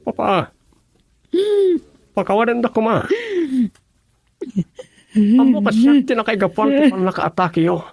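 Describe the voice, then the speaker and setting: An actor playing a remorseful son in a radio drama, with a husky, creaky voice and a Filipino accent. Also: male voice, high-pitched, a little slow, pauses often, no echo, exaggerated voice. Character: remorseful grown child of a grieving mother.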